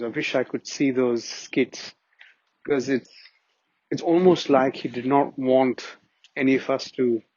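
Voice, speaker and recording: Husky middle aged guy remembering someone, earnest, kinda muffled audio. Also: medium pitch, darkish tone, no echo. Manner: earnest, reminiscing, with frequent restarts. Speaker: family friend giving a memorial tribute. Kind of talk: personal spoken tribute.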